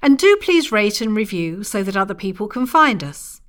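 A woman presents as a podcast host, reading a script. Her mid-pitched voice moves up and down in a lively, animated way. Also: normal speed. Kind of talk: scripted podcast trailer narration.

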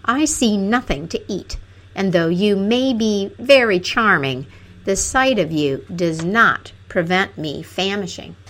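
A woman voicing a cross, selfish young gentleman in a fairy tale, curt and grumbling. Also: mid-range voice, moderate pace, lightly acted. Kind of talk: fairy tale storybook character.

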